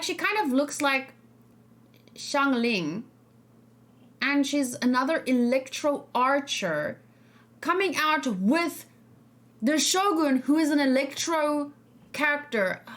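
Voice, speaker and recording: Female streamer, fairly high voice, casual and rambling, reacting and discussing off the cuff. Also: fairly bright tone. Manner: rambling, thinking aloud, hesitant. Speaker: streamer host.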